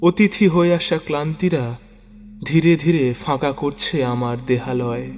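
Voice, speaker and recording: A man narrating a first-person horror story theatrically, with halting, suspenseful pauses. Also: fairly high pitch, steady tone. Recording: long reverb, music bed underneath. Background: background music.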